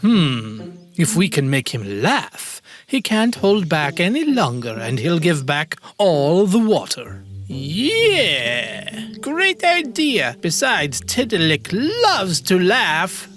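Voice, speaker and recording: A woman voicing a concerned, sensible forest animal in a children's fable, earnest and expressive. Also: fairly low pitch, takes it slowly, soft background music, heavily acted. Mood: urgent, eager. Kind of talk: children's storybook character acting.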